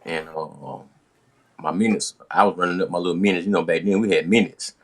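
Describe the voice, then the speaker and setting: A man's voice: low-pitched, steady tone, a little fast. An American man hosting a podcast, casually telling stories from his younger days in slangy, profane talk, acting out the dialogue as he goes. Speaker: podcast host.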